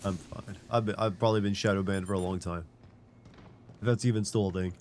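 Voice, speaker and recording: A man's voice: low-pitched, fairly even intonation, a little fast, a little mellow, a little hiss or hum. A man with a stuffy head cold chatting casually while playing a game, making laid-back, dry remarks. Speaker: co-streamer. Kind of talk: casual gameplay chat.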